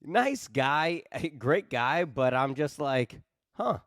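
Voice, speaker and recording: A man's voice: on the high side, slow pace, dry recording, studio quality. A man in his thirties hosting a podcast, talking in a flippant, teasing way and telling stories at length. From New England. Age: 30s. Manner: teasing, flippant, animated storyteller. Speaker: podcast host. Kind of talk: casual chat.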